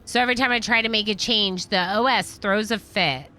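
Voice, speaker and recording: A woman's voice: medium pitch, fairly quick, pushed and bright, natural voice. A woman reading game dialogue aloud as a weary, brooding colony engineer who grumbles and explains. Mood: weary, grumbling.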